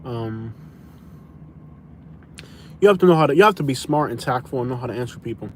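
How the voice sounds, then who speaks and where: A man's voice: medium pitch, animated, brisk, slight background noise. A young man from Florida, casually answering viewer questions on a live stream, rambling and restarting his sentences, calling people "bro". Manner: rambling, repeats himself and restarts, says "bro".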